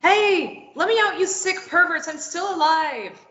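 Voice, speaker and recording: A woman's voice: very high voice, animated, a little slow, heavily acted. A woman reading a curt, bitter young woman. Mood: panicked. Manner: curt, sharp retorts. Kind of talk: table read of a stage play. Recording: dull lo-fi, echoey room.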